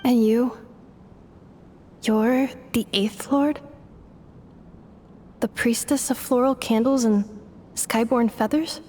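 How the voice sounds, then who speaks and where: A calm, earnest android maid in a game cutscene, with a voice set a little high. She keeps her lines plain and measured, matter-of-fact even when the subject is serious, and a faint hiss sits under the recording.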